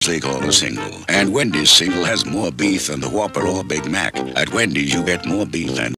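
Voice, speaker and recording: A man's voice: very deep voice, expressive, moderate pace, music in the background. A man reading a scripted television advertisement as a commercial announcer. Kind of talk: scripted TV ad voice-over.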